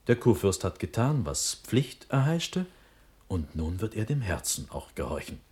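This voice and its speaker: Young prussian prince, old verse radio play, impassioned and sure of himself, husky, really animated pitch. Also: male voice, measured pace, full character voice. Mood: fervently trusting. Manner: declamatory, impassioned, swinging from urgent to hushed. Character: young Prussian prince, impulsive, trusting, proudly confident.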